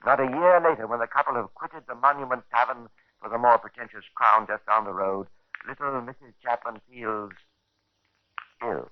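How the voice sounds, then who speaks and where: An actor playing a sardonic, macabre storyteller, narrating a 1950s radio crime drama with ironic relish and deliberate phrasing. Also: male voice, mid-range voice, close to an everyday voice. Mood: sardonic, ominous. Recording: dull vintage radio recording.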